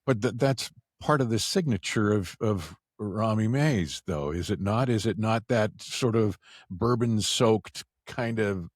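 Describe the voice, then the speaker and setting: A man's voice: fairly low pitch, lively intonation, a little slow, dry recording, clean studio sound. A man hosting a podcast interview, talking casually and hesitantly, often searching for his words. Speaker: podcast host.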